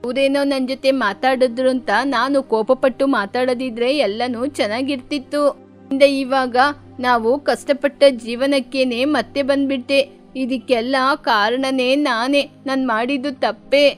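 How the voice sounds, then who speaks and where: A woman's voice: high-pitched, fairly even intonation, measured pace. A woman voicing a hot-tempered young female bird who sells pickles and quarrels with customers. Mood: remorseful. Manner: sharp-tongued, quarrelsome. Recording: faint music behind.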